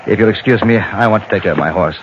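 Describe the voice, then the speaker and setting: Radio Western lead: male actor as English gentleman reporter, reserved, skeptical, lowish voice, noisy muffled vintage sound. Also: fast talker, close to an everyday voice. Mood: courteous. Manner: polite, reserved, dryly skeptical. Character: urbane English newspaper correspondent traveling the frontier. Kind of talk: old radio Western acting.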